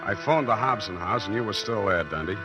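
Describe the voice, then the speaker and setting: A man's voice: on the low side, lively intonation, fast, loud music behind the voice, lightly acted. An American actor playing a wisecracking, cocky male private detective in a wry, mock-pompous 1940s radio style. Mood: brisk, confiding.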